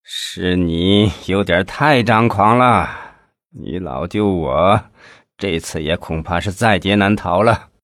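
A man voicing an aging male party official who scolds his nephew in a slow, heavy, sighing way. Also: low-pitched, dry recording, studio quality. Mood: weary scolding.

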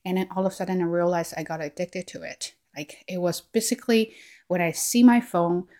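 A woman's voice: lowish voice, fairly quick, darkish tone. Earnest Chinese-accented woman vlogging. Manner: earnest, frequent "like" and "right?", restarts. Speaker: language learning vlogger. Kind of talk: casual reflective monologue.

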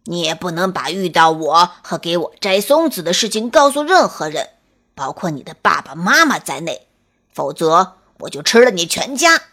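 A woman's voice: fairly low pitch, big pitch swings, normal speed, fairly bright tone, voice pushed down, full character voice. A woman voicing a menacing, threatening big grey wolf in a children's bedtime story. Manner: growling, threatening.

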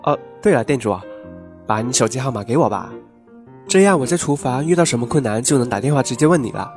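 Playful lovestruck young man for radio drama, played by a man: mid-pitched, eager, coaxing, faint music underneath. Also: lively intonation, quick pace, darkish tone, natural voice. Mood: cheerful, excited. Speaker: voice actor in an amateur radio drama. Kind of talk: Chinese audio drama acting.

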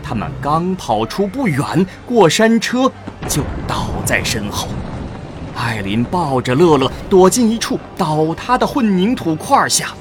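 Animated male drama narrator. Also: on the high side.

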